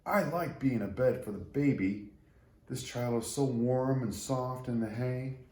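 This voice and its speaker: Storybook role: small scrawny tree, read by a man. Earnest, wondering, raised pitch, roomy sound. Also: unhurried, dark tone, natural voice. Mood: happy. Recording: roomy echo.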